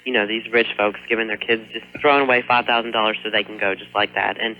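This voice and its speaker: A man's voice: on the low side, fairly even intonation, fast talker. A fourteen-year-old American boy calling a radio show, chatting casually, polite but a little defensive. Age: 14, teenager. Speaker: caller, high school student. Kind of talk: casual phone-in conversation. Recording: dull lo-fi radio phone-in.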